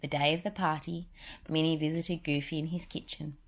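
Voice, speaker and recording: Woman reading a kids picture book out loud, voice on the low side. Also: level tone, normal speed, a little hiss or hum. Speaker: narrator reading a children's picture book aloud. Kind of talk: storybook read-aloud.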